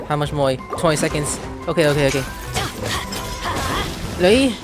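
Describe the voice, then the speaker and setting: A woman's voice: deep voice, expressive, normal speed. A woman giving casual let's-play commentary, reacting aloud and talking to the game characters. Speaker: gameplay streamer. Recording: game music behind the voice.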